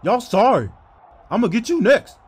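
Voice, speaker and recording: A man's voice: high voice, big pitch swings, normal speed, husky. A man doing comedic sports parody voiceover, with brash, slangy trash talk.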